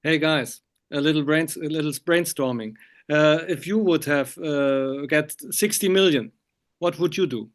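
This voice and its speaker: A man with German as his first language conducting a casual interview, haltingly searching for words in non-native English. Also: fairly high pitch.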